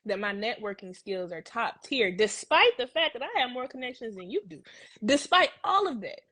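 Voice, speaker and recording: A woman's voice: fairly high pitch, sing-song, normal speed, sharp tone, no echo. A woman guest on a talk show, speaking emphatically and repeating phrases to drive her point home. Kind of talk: casual discussion.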